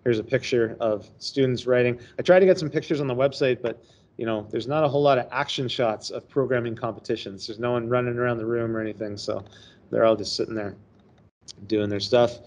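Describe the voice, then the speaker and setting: A man's voice: mid-range voice, brisk, a little mellow. A middle-aged male teacher giving a casual, chatty screen-share presentation on a video call. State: hoarse, coughing. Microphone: webcam on a laptop.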